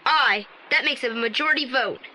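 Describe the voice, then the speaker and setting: A male actor playing an excitable, wary teenage boy sidekick who talks bluntly and colloquially in an audio drama. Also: extremely high pitch, moderate pace, full character voice. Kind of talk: 1980s audio drama acting. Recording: slightly noisy old audio play transfer.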